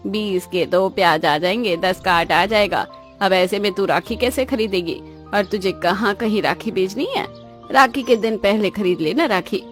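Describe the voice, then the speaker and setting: A woman voicing a weary, practical middle-aged mother of a poor family in a cartoon, measured and matter-of-fact. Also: mid-range voice, faint music behind, close to an everyday voice. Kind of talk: cartoon moral-story dialogue in Hindi.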